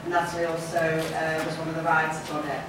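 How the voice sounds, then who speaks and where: A woman's voice: low-pitched, monotone, fast. A woman talking informally on a panel as a film producer and actor. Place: indoor event room at a film festival panel. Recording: echoey room from far off, noisy.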